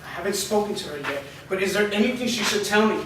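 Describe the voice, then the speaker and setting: A man's voice: sits high, animated, quick pace. A man reading the part of a blunt, defiant teenage boy who swears freely. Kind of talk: staged reading. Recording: roomy echo, far from mic, noise.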